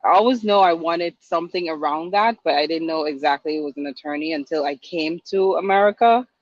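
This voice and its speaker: A woman from Guyana, a guest attorney answering interview questions in a hesitant, halting way with many ums. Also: sits low, dry recording. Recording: dry, dull lo-fi audio.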